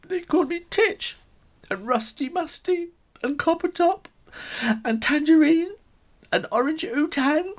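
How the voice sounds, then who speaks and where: A man voicing a small, timid boy kitten in a squeaky, plaintive childlike voice for a children's story. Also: animated, a little slow.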